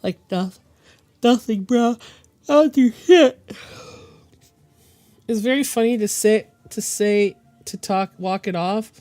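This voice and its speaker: A woman chats casually during a live art stream, her voice hoarse and gravelly from a chest illness. She talks in a halting, meandering way, stopping often to clear her throat, while soft music plays faintly behind her. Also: medium pitch. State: hoarse and deepened from bronchitis.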